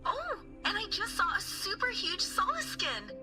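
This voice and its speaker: A woman playing a sweet, excitable young girl student in a video game, bubbly and earnest. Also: very high voice, measured pace, over music, full character voice. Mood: excited, affectionate.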